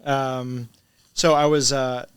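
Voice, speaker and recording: A man's voice: medium pitch, little pitch movement, slow pace, fairly bright tone, clean tone, dry recording. A middle-aged man from Spain with a mixed English, American and international accent, chatting as an interviewed teacher and often tagging on "right?". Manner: conversational, often checks with "right?". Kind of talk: casual interview chat.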